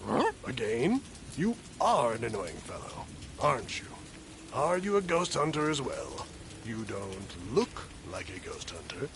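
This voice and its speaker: A man's voice: mid-range voice, sing-song, unhurried, noisy background. A man voicing a fussy, irritable, self-important ghost hunter in a video game, given to exclaiming. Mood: irritated. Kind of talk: video game character dialogue.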